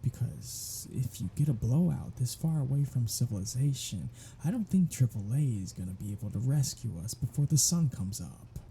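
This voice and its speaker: A performer voicing a cocky, sardonic, teasing vampire in an ASMR roleplay, with a breathy, husky voice and a drawling, sarcastic, playful way of talking. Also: mid-range voice, animated, normal speed, few pauses, mellow, slight background noise. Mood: dry, teasing. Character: cocky, sardonic, teasing, foul-mouthed vampire riding shotgun. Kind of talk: ASMR roleplay audio drama, one-sided conversation.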